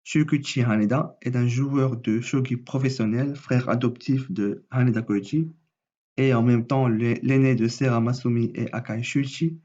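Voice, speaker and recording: A man's voice: medium pitch, fairly even intonation, normal speed, warm tone, dull audio. A man with a cold, reading his own theory video as a channel host, with small restarts. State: has a cold. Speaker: anime and manga YouTuber.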